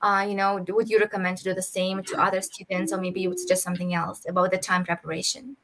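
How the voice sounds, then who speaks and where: A woman's voice: medium pitch, steady tone, fast talker, a crowd in the background. A woman moderating an online panel, asking long, rambling questions with many fillers.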